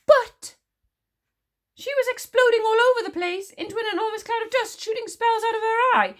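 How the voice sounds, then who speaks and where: A woman's voice: very high-pitched, expressive, slow, a little mellow, pitched above the performer's own voice, full character voice. A woman voicing a flustered, pompous man who hunts witches, spluttering and babbling as he talks.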